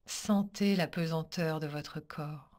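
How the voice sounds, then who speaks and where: A woman with a low voice leads a guided meditation as a yoga teacher. She speaks very slowly and calmly, in short soothing instructions. Pauses separate her measured phrases. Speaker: yoga nidra teacher guiding a practice.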